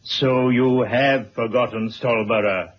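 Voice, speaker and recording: An actor playing a genial, garrulous retired headmaster, formal and wordy, in a 1970s radio drama. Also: male voice, mid-range voice, steady tone, slow. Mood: pointed, reminiscing. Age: older. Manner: formal, wordy, chuckling asides. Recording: dull old radio drama recording.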